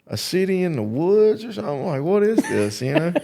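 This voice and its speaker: A man's voice: high voice, sing-song, brisk, creaky, dark tone. A man in his late thirties hosting a casual interview, chatting in a folksy, slangy way. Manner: folksy, slangy, says "dude" and "bro". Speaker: show host interviewing a guest. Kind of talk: casual chat.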